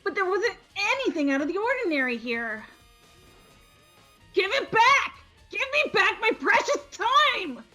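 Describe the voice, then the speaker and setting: A woman's voice: very high-pitched, big pitch swings, unhurried, sharp tone, faint music behind, voice pushed up, heavily acted. A woman voicing an insecure, paranoid teenage girl from a video game, with indignant, stammering outbursts. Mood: angry, panicked. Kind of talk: amateur voice acting of a video game script.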